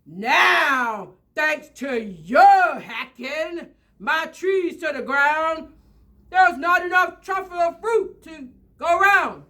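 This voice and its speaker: A woman's voice: high-pitched, lively intonation, takes it slowly, sharp tone, heavily acted. A woman voicing an indignant, scolding storybook creature with a querulous, emphatic delivery.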